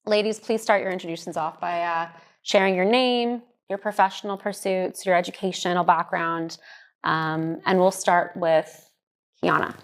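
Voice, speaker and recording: A woman's voice: fairly low pitch, steady tone, moderate pace. A young woman hosting a studio panel discussion, polite and encouraging, with frequent fillers. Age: young adult. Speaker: host.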